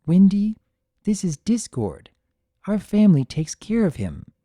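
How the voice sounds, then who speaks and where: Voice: medium pitch, big pitch swings, a little slow, mellow, no echo, clean studio sound, voice pushed up. A performer voicing a stubborn, skeptical young mare pony in a fan fiction reading, insistent and protesting. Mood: proud, presenting.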